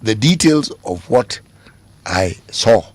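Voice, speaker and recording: A man co-hosting a radio talk show, articulate and deliberate, in a lecturing way. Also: on the low side, lively intonation. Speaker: radio co-host.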